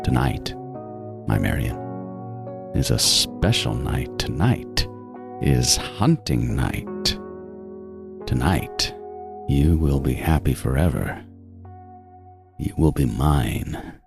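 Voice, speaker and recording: A man playing a meticulous, obsessive serial killer who narrates a horror audio drama in a deliberate, brooding, gloating manner. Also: rumbling low, very animated, creaky, heavily acted. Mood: menacing longing. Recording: music bed under the voice.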